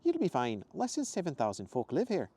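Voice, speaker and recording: A man voicing a friendly, chatty Scottish wife with a soft Scottish accent in a storybook reading. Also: on the high side, huge pitch swings, a little fast, slightly rough, pitched above the performer's own voice, exaggerated voice. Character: friendly, softer-spoken red-haired Scottish wife.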